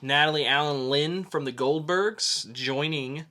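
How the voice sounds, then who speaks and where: A man co-hosting a podcast, talking casually through entertainment news in a halting, conversational way with pauses. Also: a little high, bright, forceful tone. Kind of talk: casual geek news chat.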